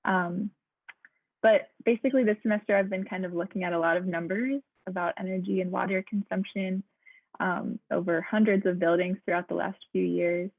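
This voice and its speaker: A woman's voice: on the low side, fairly even intonation, moderate pace, creaky, dry recording. A young American woman giving an informal self-introduction as a graduate intern on an online call. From Pittsburgh area, United States. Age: young adult. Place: online meeting. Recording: thin, close, phone-like call audio.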